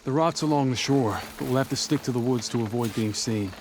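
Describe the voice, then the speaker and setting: A man's voice: medium pitch, fairly quick, a little mellow, slight background noise, lightly acted, poor audio. An actor playing an earnest, guilt-worn male fugitive in a naturalistic video game performance, somber in manner.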